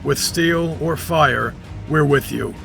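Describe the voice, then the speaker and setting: A man's voice: fairly high pitch, takes it slowly, vocal fry, over music, heavily acted. A man playing a loyal, defiant military captain in an audio drama, with clipped, formal soldierly speech. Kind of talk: fan audio drama acting.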